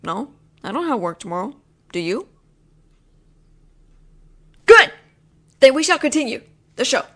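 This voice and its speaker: A woman's voice: sits high, very animated, a little slow, fairly bright tone. A woman acting out a one-sided audio roleplay as a frustrated, possessive roommate talking straight to the listener. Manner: frustrated, insistent, teasing. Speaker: voice actress playing a possessive roommate.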